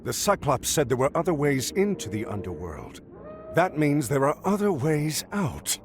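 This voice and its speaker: A man's voice: a little high, huge pitch swings, moderate pace, noisy background. An actor voicing a heroic, earnest admiral leading his men in a game.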